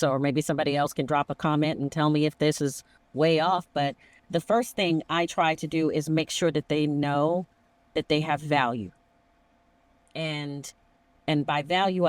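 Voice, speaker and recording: A woman's voice: deep voice, a little mellow, no room sound. A middle-aged woman hosting a conversational discussion, speaking emphatically and slipping into imagined dialogue. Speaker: podcast host, emergency dispatch veteran.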